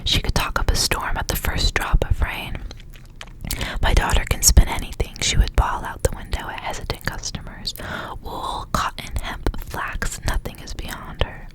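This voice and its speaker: A woman whispering as an ASMR host, reading stories aloud with casual asides in a hushed, unvoiced delivery. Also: moderate pace, rough recording. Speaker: ASMR reader, host of the channel.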